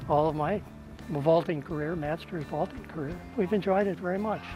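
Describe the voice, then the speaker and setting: A man in his eighties, a masters pole vaulter, speaking in a gentle, sincere way. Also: a little high, steady tone, moderate pace, low-quality recording. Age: 80s. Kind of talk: documentary interview. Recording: interview under loud music.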